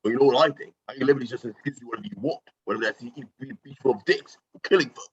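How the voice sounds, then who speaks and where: A man playing a gruff, sarcastic mercenary team leader in an amateur action-comedy table read, with a husky voice. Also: medium pitch, racing, dull audio, slight background noise, natural voice. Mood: irritated, sarcastic. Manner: sarcastic, curt.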